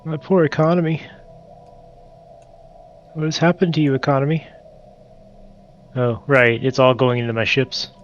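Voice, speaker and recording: A man's voice: medium pitch, fairly even intonation, unhurried, pauses often. Guy with a blocked-up nose mumbling through his strategy game, kinda dull sound, faint hum behind. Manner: thinking aloud, muttering to himself. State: stuffed up from allergies. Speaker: game streamer. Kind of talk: casual multiplayer gameplay commentary.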